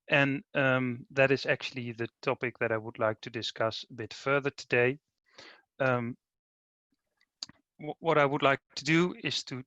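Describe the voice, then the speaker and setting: A man's voice: mid-range voice, pushed and bright, dry recording, lo-fi sound. Dutch-accented man presenting, hesitant. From Netherlands. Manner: hesitant, many ums and uhs. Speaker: managing director presenting. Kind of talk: webinar presentation.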